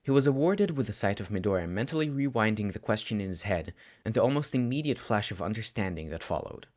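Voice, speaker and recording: A man reading scripted story narration aloud, audiobook style, with expressive, dramatic phrasing. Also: on the low side, quick pace. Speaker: audiobook narrator of fan fiction.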